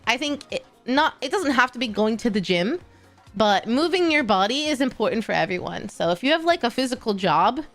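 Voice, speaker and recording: A young woman chats with her stream audience in an easy, encouraging way. Her nose sounds stuffy and congested, and she laughs often. Faint music plays softly underneath. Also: medium pitch. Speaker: VTuber streamer. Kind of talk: casual livestream chat, reading chat.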